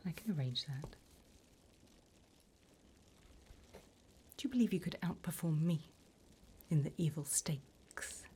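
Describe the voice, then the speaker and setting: A woman voicing a sly, sinister demon interviewer in an ASMR roleplay, in a soft breathy whisper with a teasing, menacingly polite manner. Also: low voice, animated, moderate pace, slight background noise.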